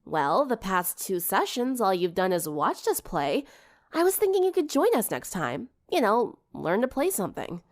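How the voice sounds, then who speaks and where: Casting: easygoing, teasing teen girl leader voiced by a woman, confident, encouraging, expressive mid-range voice. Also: medium pitch, normal speed. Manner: casual, wry, encouraging.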